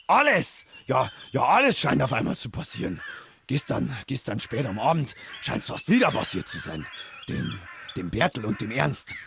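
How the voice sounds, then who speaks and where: An actor playing an anxious older village mayor with a Bavarian accent, stumbling and repeating words. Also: male voice, medium pitch, gravelly, fairly bright tone, slight background noise. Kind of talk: game character dialogue.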